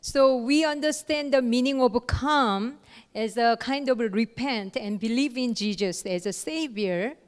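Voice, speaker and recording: A woman giving a lecture in short, paused phrases with fillers, leaving room for an interpreter. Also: a little high, clean tone. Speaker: guest lecturer. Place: lecture hall. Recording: long hall reverb.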